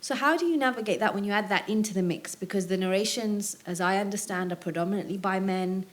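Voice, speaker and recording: A woman's voice: medium pitch, fast talker. A woman in the audience of a public seminar, asking a long question with many hesitations.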